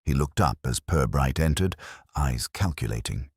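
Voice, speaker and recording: A man narrating a scripted mystery story in a measured, literary way. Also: rumbling low, expressive, darkish tone, slightly rough, no room sound, studio quality.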